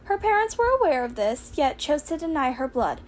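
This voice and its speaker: A woman's voice: sits high, big pitch swings, brisk, slight background noise, voice pushed up. A woman voicing a small, courteous male school principal in a fan fiction audiobook, speaking in polite, formal phrases. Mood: earnest.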